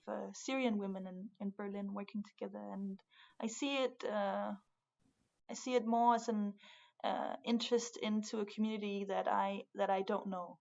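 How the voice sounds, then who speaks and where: Hesitant young Danish woman, gravelly. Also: mid-range voice, a little mellow, dull audio. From Denmark. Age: young adult. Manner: hesitant, many fillers and restarts. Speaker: art student, guest. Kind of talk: casual conversation.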